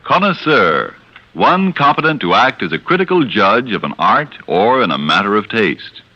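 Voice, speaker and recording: A man's voice: fairly low pitch, very animated, normal speed, pushed and bright. A man reading a scripted 1940s radio advertisement as commercial announcer. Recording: muffled vintage radio recording.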